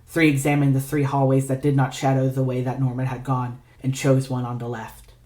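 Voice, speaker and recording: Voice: medium pitch, monotone, fairly quick, a little mellow. A narrator reading scripted fiction aloud as an audio story.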